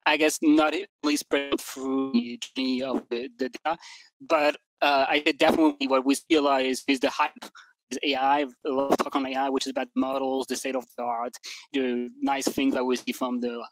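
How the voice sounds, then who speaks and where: A man's voice: medium pitch, flat delivery, normal speed, no echo, poor audio. A man, explaining things as an expert panelist in loose, conversational phrases. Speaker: panelist, AI research executive. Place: dim indoor room, video call. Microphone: headset boom mic.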